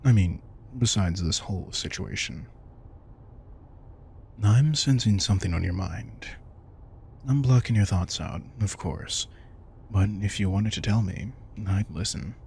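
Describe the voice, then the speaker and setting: Guy doing a protective vampire king for audio roleplay, deep voice, casual n tender, bit of background noise. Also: animated, a little slow, vocal fry, long pauses. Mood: gently concerned. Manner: soft-spoken, casual, reassuring, occasionally swearing. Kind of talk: intimate audio roleplay, one-sided dialogue.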